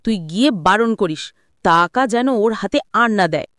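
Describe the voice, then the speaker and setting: A woman's voice: medium pitch, animated, moderate pace, a bit bright, no echo, studio quality, natural voice. A woman voicing a domineering, resentful middle-aged mother in an audio story, nagging and snobbish as she scolds. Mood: indignant scolding.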